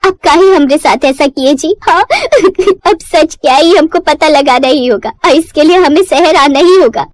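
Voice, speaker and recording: A woman's voice: high voice, expressive, normal speed, voice pushed up. A woman voicing a young village wife in a cartoon story, plaintive and rustic in speech, pleading yet defiant. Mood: hurt, determined.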